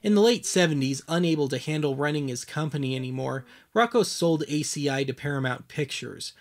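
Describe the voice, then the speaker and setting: Higher-voiced man narrating a documentary. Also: brisk. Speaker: host and narrator of a web documentary series.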